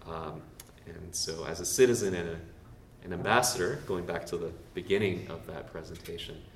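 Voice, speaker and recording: Low-voiced man speaking far off in a roomy hall. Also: normal speed, creaky. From Canada. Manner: casual and self-deprecating, with many fillers. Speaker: presenter. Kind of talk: church talk with slides. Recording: roomy, far from the mic, faint noise.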